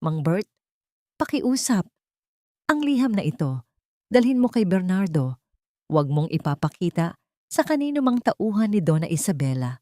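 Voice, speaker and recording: Woman voicing a hushed, pleading captive housemaid. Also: lowish voice, sing-song, normal speed, pauses often, no echo, clean studio sound. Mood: urgent pleading.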